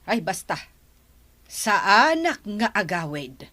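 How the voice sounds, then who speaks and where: A woman's voice: medium pitch, big pitch swings, slow, a bit bright, no echo, full character voice. A woman playing an aggrieved, stubborn aunt who complains emphatically in a comic radio drama.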